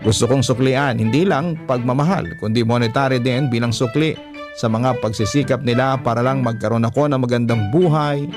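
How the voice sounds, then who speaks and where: A man reading a listener's love story aloud in the first person, in a measured storytelling manner. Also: mid-range voice, music in the background. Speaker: narrator reading a listener's letter. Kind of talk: scripted first-person narration.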